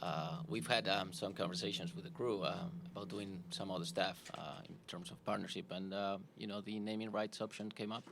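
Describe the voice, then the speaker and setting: A man from Spain with a Spanish accent, a company executive speaking haltingly at a press conference, with many fillers and restarts. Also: low voice, steady tone, creaky, background noise.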